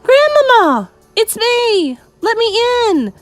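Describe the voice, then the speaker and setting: A woman voicing a young boy who has been turned into a mouse, talking excitedly and loudly. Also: medium pitch, brisk, no room sound, soft background music, natural voice.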